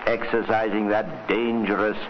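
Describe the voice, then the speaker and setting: An actor playing a wry, knowing narrator in a vintage radio drama, confiding in the listener. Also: male voice, fairly low pitch, level tone, moderate pace, natural voice. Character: wry, knowing male storyteller addressing the listener. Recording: muffled, noisy old radio recording.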